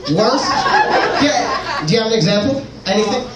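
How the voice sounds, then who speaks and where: A teenage boy does stand-up in a very high-pitched young voice, with slangy, conversational delivery. He is heard from a distance in an echoey club with a loud crowd around him. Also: animated, normal speed, music in the background. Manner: casual, slangy, exclamatory delivery. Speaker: stand-up comedian. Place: indoor comedy club stage. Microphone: handheld stage microphone, picked up from afar. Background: loud audience noise.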